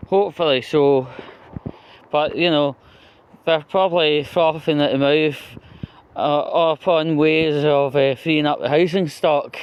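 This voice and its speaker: A man's voice: on the high side, steady tone, takes it slowly, muffled recording, slight background noise. A Scottish man giving a rambling, conversational political monologue as a vlogger, blunt, sweary and opinionated, often checking in with tag questions.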